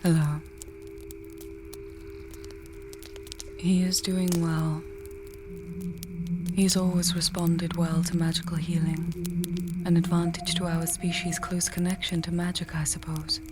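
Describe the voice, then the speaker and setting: A woman playing a wry, confident mage and healer in a fantasy audio tale, speaking in a measured, articulate, dryly teasing way. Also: lowish voice, little pitch movement, background noise, close to an everyday voice. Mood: calm, explanatory. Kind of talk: fantasy audio roleplay, addressing the listener.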